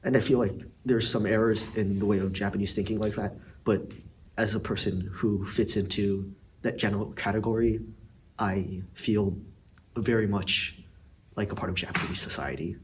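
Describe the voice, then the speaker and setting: Teen boy, low voice, distant mic. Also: steady tone, moderate pace, a little hiss or hum. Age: teens. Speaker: student. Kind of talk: opinion statement in a discussion.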